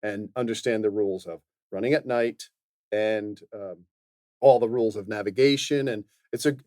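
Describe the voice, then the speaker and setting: A man co-hosting a podcast, giving casual advice in a somewhat halting way, repeating his phrases. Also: lowish voice, darkish tone, no room sound, clean studio sound. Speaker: podcast co-host.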